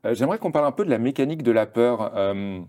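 A man hosting a podcast interview, asking measured questions and backing the guest up with short agreements. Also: mid-range voice, lively intonation, a little mellow.

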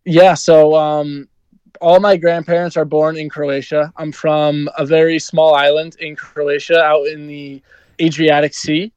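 A man's voice: a little high, level tone, unhurried, darkish tone, smooth, no room sound, dull audio. A young man from New Jersey, a hockey player answering interview questions in an easygoing way, with many ums and you-knows. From New Jersey, USA.

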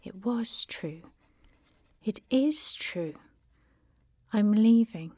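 A woman reads letters aloud at a slow, lingering pace. Her delivery is dramatic and sometimes breathy, with a middle-range pitch and a dry, close sound. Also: lively intonation, a little mellow, no room sound. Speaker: reader of family letters. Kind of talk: expressive reading of letters with narration.

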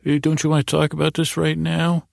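A man voicing a pesky, chatty neighbor kid inside someone's head, insistent and stammering, in a gravelly adult voice. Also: on the high side, completely flat delivery, fairly quick, no room sound, clean studio sound. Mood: eager, pestering.

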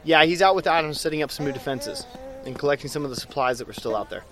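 A man's voice: on the high side, expressive, fast talker, fairly bright tone, slight background noise. A man playing an amiable, compassionate survivor in an audio drama. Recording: music bed behind the voice.

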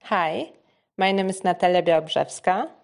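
A woman's voice: fairly low pitch, unhurried, vocal fry, faint music behind. Polish-born woman introducing herself. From Poland. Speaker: social enterprise founder. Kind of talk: self-introduction.

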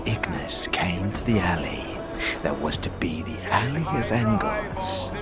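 Over clear background music, a grimy, half-crazed beggar storyteller spins his tale for coin. His pitch leaps and plunges wildly while the pace drags slowly. He echoes his own phrases in odd inverted syntax and sinks into theatrical whispers, as in a dark fantasy radio play. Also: huge pitch swings, full character voice. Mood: feverish, menacing. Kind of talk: theatrical audio drama storytelling. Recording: music under the voice.

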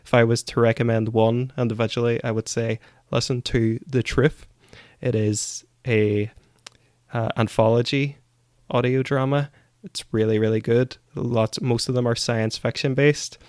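A man's voice: mid-range voice, flat delivery, a little slow, darkish tone, no room sound, studio quality. A man co-hosting a podcast, giving his opinions and recommendations in casual chat. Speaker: podcast co-host.

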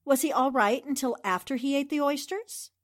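Actress as confident, bright-pitched woman reporter sleuth. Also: moderate pace, natural voice. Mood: probing. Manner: incisive, questioning, assured. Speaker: newspaper reporter. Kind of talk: 1940s radio drama acting.

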